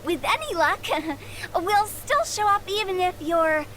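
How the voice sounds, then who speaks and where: A woman's voice: very high-pitched, big pitch swings, unhurried, a bit bright, a little hiss or hum, full character voice. A woman playing an impish young funeral director in an anime video game, with playful, theatrical sales patter. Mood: cheerful salesmanship.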